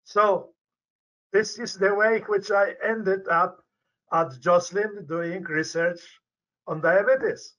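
An older man from Poland with a Polish accent, a medical researcher answering interview questions over a video call, speaking deliberately with many restarts. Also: high voice, a bit bright. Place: indoor office with banner behind. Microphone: webcam on video call. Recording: dull lo-fi video call audio.

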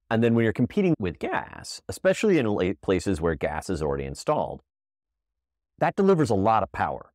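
Very deep-voiced man explaining things. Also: a little mellow, no echo, studio quality. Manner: conversational, explains with everyday analogies. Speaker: guest, appliance company founder. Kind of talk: casual explanatory interview talk.

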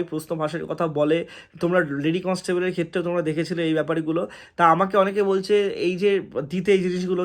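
A man with a West Bengal Bengali accent speaking as an online exam-coaching host, giving informal advice to students in an earnest, cautioning, emphatic way. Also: high voice. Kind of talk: informal advisory talk to students.

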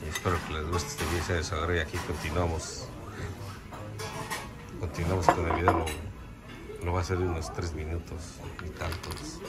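A man's voice: low voice, fairly quick, pauses often. A man with a Mexican Spanish accent chatting casually in a restaurant booth, showing food and sending long lists of greetings and blessings. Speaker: vlogger. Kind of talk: casual food vlog chat. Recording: loud music playing behind.

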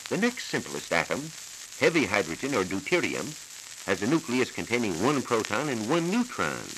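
A low-voiced American man lectures on science in the formal manner of 1940s radio. His delivery is measured and didactic, with lively rises and falls. The old transcription disc hisses and crackles clearly. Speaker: science reporter.

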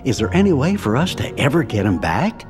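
A man voicing a folksy, curious, kindly cartoon character with a gentle, bumbling manner in a game cutscene. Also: medium pitch, big pitch swings, fairly quick, natural voice. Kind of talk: animated game cutscene acting. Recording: long reverb, music behind.

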